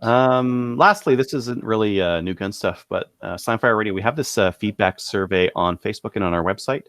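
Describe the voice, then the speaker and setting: A man co-hosting a podcast, casually running through products in a halting, thinking-aloud way. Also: fairly low pitch, a bit bright, dry recording, clean studio sound. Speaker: podcast co-host presenting gun deals.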